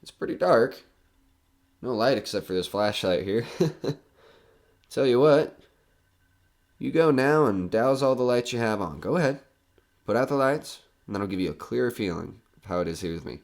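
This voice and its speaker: A man's voice: medium pitch, animated, normal speed, pauses often, close to an everyday voice. A man playing a nervous, skeptical broadcaster alone in a haunted house in an audio horror drama, rambling and joking anxiously. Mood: nervous, chatty.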